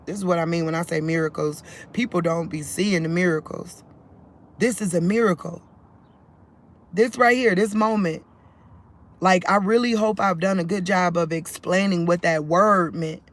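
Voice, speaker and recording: A man's voice: sits high, fairly even intonation, moderate pace, fairly bright tone. A man vlogging about faith into his phone, talking loosely and conversationally, restarting sentences and leaning on fillers. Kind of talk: rambling religious vlog to camera.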